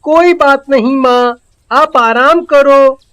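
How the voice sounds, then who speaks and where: A woman voicing a cheeky, plucky poor young village boy in a cartoon, with earnest, drawn-out delivery. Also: high voice, fairly bright tone. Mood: gentle, curious. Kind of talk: Hindi cartoon voice acting.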